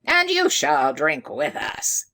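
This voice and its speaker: A narrator voicing a rowdy, hearty storybook tavern guest with loud, drawn-out bellowing. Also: medium pitch, huge pitch swings, heavily acted. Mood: boisterous.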